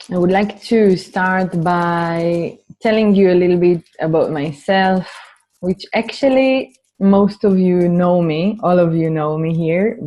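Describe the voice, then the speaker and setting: A woman with an Israeli accent speaking gently and informally as she leads a spiritual healing webinar. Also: fairly low pitch. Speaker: spiritual healer hosting a webinar. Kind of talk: guided meditation and informal webinar talk. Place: online webinar from a computer.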